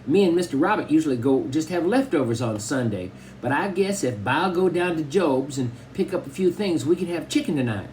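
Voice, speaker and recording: A man's voice: fairly high pitch, expressive, a little fast, few pauses, a little mellow, a little hiss or hum, pitched above the performer's own voice, close to an everyday voice. A man doing a tiny doting Southern granny. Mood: bossy. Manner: folksy drawl, fussing. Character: tiny old Southern grandmother, doting and bossy.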